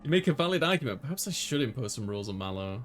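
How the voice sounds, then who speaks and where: A man voicing an easygoing young student who narrates a visual novel in the first person, measured and conversational. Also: medium pitch, big pitch swings, a bit bright, soft background music. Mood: reasonable.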